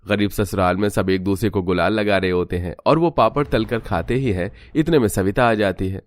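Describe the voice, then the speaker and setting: Low-voiced man narrating a story. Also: a little fast.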